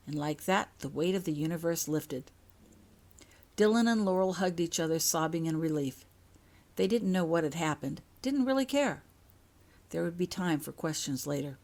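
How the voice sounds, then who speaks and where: A woman's voice: on the low side, darkish tone. A woman narrating a scripted story as an audiobook, reading her own fiction.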